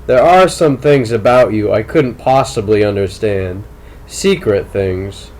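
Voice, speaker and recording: A man voicing a brilliant, manipulative teenage tennis star in an audiobook, speaking in a deliberate, probing and coolly insistent way. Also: lowish voice, darkish tone, a little hiss or hum, natural voice. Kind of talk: audiobook character dialogue.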